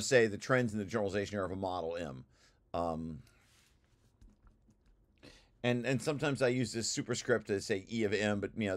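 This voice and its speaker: Man talking through technical material, haltingly. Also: lowish voice, a bit bright. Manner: hesitant, halting explanations with repeated words. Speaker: presenter reviewing own technical paper. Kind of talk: informal technical walkthrough.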